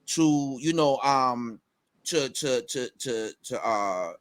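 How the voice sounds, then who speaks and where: A man's voice: medium pitch, expressive, slow. A man in casual conversation, talking with many fillers and restarts and breaking into recited rap lines. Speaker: rapper guest.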